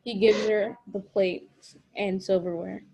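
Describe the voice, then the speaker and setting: A woman reading scripted stage directions as narrator of a drama, in short, measured phrases. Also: medium pitch, fairly even intonation, creaky, mellow, breathy edge, poor audio.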